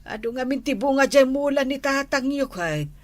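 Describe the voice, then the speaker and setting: A woman's voice: high-pitched, animated, normal speed, no room sound. A woman playing a gossipy older village mother in a comedy drama, folksy, with a northern Philippine accent. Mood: sympathetic.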